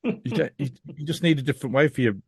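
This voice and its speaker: A man hosting a podcast, giving an exasperated, emphatic review in casual, animated chat. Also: fairly high pitch, fast talker, breathy edge, no room sound, clean studio sound. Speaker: podcast host.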